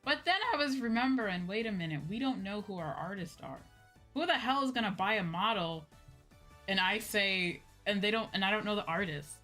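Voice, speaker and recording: A woman streamer chatting casually with her viewers in an animated, informal way, often checking in as she goes. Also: mid-range voice, fairly quick. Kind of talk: casual livestream chat. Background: background music.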